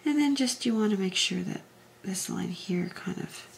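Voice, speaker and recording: A woman hosting a craft tutorial, talking through each step in a soft, unhurried way, often dropping to a half whisper, her voice a little hoarse from allergies. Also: mid-range voice, animated, a little hiss or hum. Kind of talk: casual step-by-step demonstration.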